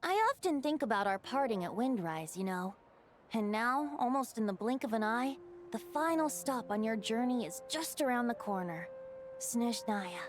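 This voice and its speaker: A carefree young bard deity from a video game, played by a woman, wry and playful. The voice sits fairly high and moves in lively, theatrical swings, with soft music behind it. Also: moderate pace, lightly acted. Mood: reflective. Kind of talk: scripted video game voice acting.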